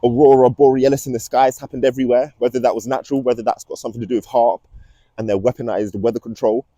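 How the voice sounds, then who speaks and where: A man's voice: mid-range voice, steady tone, fast talker, dark tone, no room sound. A young man, talking earnestly and ominously in a casual rambling vlog as he walks outdoors. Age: young adult. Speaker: vlog host. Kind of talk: casual rambling monologue to camera. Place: outdoors, walking.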